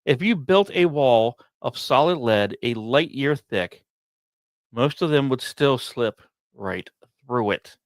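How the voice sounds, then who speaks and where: A man hosting a science podcast, reading scripted narration with casual asides and musing, dramatic pauses between short phrases. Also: mid-range voice, expressive, dry recording. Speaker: podcast host.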